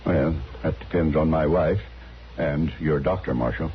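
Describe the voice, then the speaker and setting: An actor playing a formal, anxious older gentleman traveler, polite and hesitant, in an old-time radio western. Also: a bass voice, fairly even intonation, a little slow. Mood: earnest, guarded. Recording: muffled, noisy old radio recording.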